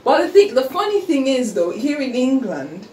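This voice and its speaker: A young woman with an Australian accent talks in a loose, animated way, full of "like" and restarts. She sits back from the microphone, so the room echo is plain, and a faint hiss runs underneath. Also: high-pitched, moderate pace, far from the mic. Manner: chatty, animated, lots of fillers. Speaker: co-host. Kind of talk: casual conversation. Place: room in England. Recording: echoey room, voice off-mic, faint background hiss.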